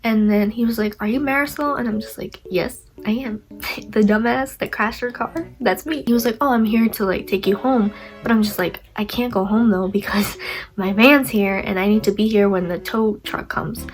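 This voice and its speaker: A woman's voice: mid-range voice, moderate pace, soft background music. A young woman vlogger with a stuffy nose, telling a story in a chatty, rambling way, full of "like" and self-mocking asides. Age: young adult. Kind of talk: casual storytime.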